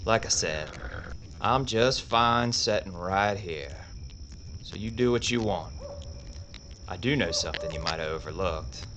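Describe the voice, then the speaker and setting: A man playing a cool, deep-voiced outlaw. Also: background noise. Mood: calm. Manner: slow, quiet, unhurried warnings. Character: calm, deadly male outlaw gunfighter. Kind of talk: audio drama acting.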